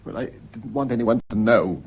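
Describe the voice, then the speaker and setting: An actor playing an evasive widowed master of the house in a radio play, clipped and defensive. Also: male voice, mid-range voice, expressive, normal speed, breathy edge, dull audio.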